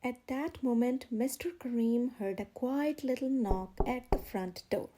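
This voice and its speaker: Lady reading a kids book out loud, high soft mellow voice, really expressive. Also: unhurried. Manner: expressive, dramatic storytelling. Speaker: storyteller for a children's channel. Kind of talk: read-aloud of a children's picture book.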